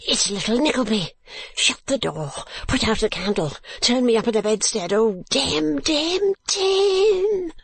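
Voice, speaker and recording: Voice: medium pitch, very animated, slow pace, breathy edge, no echo, pitched above the performer's own voice, exaggerated voice. A narrator voicing a foppish, cowardly, melodramatic husband who cajoles with affected baby-talk endearments in an audiobook. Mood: panicked. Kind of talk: audiobook character acting.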